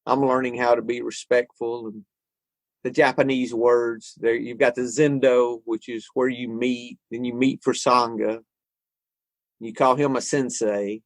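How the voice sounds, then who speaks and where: A man's voice: medium pitch, flat delivery, unhurried, dry recording, low-quality recording. Reflective man, dry close sound. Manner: reflective, thinks aloud with frequent restarts. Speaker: podcast co-host. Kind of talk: casual conversation on recovery and spirituality.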